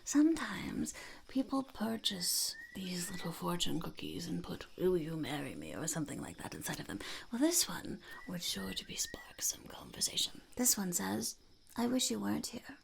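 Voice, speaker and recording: A woman voicing a mischievous, blunt female goblin peddler in soft, whispery ASMR roleplay, with a halting, deadpan delivery. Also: fairly low pitch, exaggerated voice. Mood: playful, musing. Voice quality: breathy, creaky, whispery. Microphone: close ASMR microphone. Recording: close-up with clicks and faint noise.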